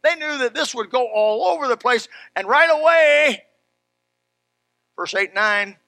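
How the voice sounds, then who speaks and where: A man preaching an animated sermon in a folksy, emphatic manner, exclaiming and repeating phrases as he tells stories. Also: extremely high pitch, measured pace, gravelly, no echo. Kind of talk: animated Bible sermon with personal anecdotes.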